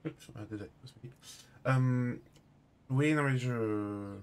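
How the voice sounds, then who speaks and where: A man's voice: mid-range voice, clean tone. A man speaks in a mid-range voice, streaming a role-play game. He talks casually and hesitantly, often breaking off his sentences and picking them up again. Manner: hesitant, halting, trailing off.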